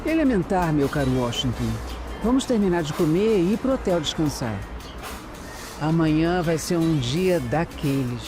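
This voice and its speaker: A Brazilian woman playing a composed, analytical detective who narrates her case in an audio drama with measured, deliberate delivery. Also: sits low, animated. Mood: focused, wary. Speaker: lead actress and narrator. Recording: loud music and street sounds behind. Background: music, urban street ambience with vehicles.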